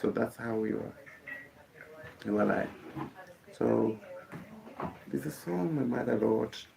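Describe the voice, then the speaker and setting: A young Kenyan man, a musician whose first language is Kikuyu, telling the story of his life in a halting way, with many fillers and restarts. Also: medium pitch, vocal fry, a crowd in the background, poor audio. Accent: Kenyan English.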